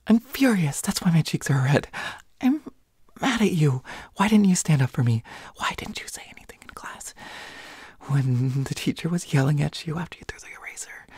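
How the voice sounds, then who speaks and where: A young woman acting as a flustered, pouty teenage schoolboy in an intimate roleplay audio, stammering and often hushed to a whisper, indignant but secretly smitten. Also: low-pitched, vocal fry, breathy edge, no echo, clean studio sound, full character voice.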